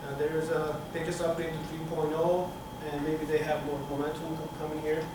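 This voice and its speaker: A middle-aged man from Turkey giving a technical conference talk, halting with many fillers and restarts, recorded from the back of a conference room. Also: on the high side, monotone. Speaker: conference speaker. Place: conference room with projector screen. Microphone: room camera from the back of the hall. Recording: echoey hall, speaker far from mic, room noise.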